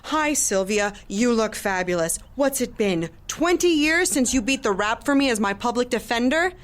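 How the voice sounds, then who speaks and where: An actress playing a sharp-tongued, middle-aged professional woman with wounded pride in an audio drama. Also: fairly high pitch, animated, moderate pace, natural voice. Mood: sardonic. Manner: sardonic, articulate. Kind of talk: audio drama acting and first-person narration.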